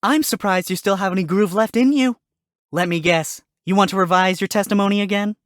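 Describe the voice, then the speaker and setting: A man's voice: sits high, a little fast, no room sound, close to an everyday voice. A man doing a sarcastic fox lawyer. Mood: smug. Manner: dry sarcastic quips. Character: smug sly male fox defense lawyer. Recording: music playing under voice.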